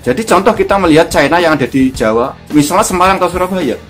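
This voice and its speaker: A man's voice: a little high, lively intonation, fast. A man with a thick regional accent from Java, hosting and commenting to his viewers in Indonesian style. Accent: Javanese-region Indonesian. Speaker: YouTube host. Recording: guitar music behind the voice. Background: guitar music.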